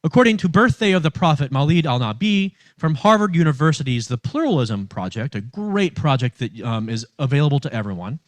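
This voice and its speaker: A man giving a sermon as a minister, reading from notes in measured phrases with emphasis on key words. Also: on the high side, sing-song, dry recording. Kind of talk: sermon read from notes, with long quotations.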